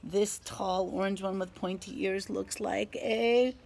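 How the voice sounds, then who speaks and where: A woman teacher doing an interactive read-aloud for children outdoors, expressive, asking questions and pausing for answers. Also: mid-range voice, vocal fry. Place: outdoors in a back garden. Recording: faint outdoor background noise.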